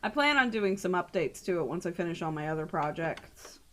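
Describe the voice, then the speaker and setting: A woman's voice: fairly low pitch, animated, brisk, slight background noise. A woman livestreamer talking casually and playfully, often swearing, as she hosts a game stream. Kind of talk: casual livestream chat with some game text read aloud.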